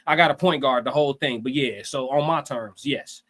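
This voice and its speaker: A man co-hosting a casual live stream, talking in animated slang and repeating phrases for emphasis. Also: medium pitch, moderate pace. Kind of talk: casual opinionated chat.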